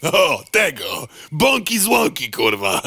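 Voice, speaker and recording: Cheeky bug mailman, radio play, bright and swingy voice, always teasing. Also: fairly high pitch, huge pitch swings, slow pace, husky, no echo, full character voice. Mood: mocking. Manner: jokey, teasing. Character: cheeky, crude male insect postman. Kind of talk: audio drama acting.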